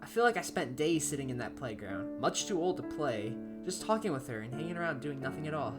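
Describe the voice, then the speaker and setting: A woman narrates a horror story in an amateur, dramatic style. Her voice is low with very lively intonation, and soft music runs underneath. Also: brisk.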